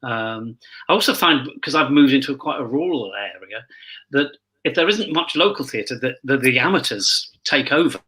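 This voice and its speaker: A man with a husky voice talking casually as a guest in a panel discussion. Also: mid-range voice, expressive, moderate pace, pushed and bright, poor audio.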